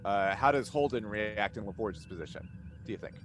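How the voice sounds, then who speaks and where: A man chatting casually in a group as a cast member answering fan questions, telling things in an animated, informal way. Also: on the low side, a little fast, slight background noise.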